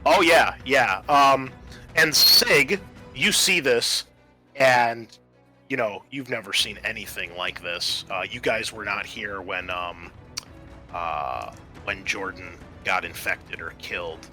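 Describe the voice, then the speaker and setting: A man's voice: lowish voice, takes it slowly, sharp tone, soft background music. A man acting as game master, narrating the scene and explaining the rules of a tabletop roleplaying game.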